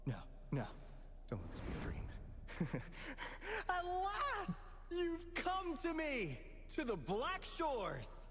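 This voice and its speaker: An actor voicing a theatrical, playful and knowing guardian of a secret order in a video game, with dramatic pauses and flourishes. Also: male voice, extremely high pitch, huge pitch swings, a bit bright, in a large hall, slight background noise, exaggerated voice. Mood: theatrical delight.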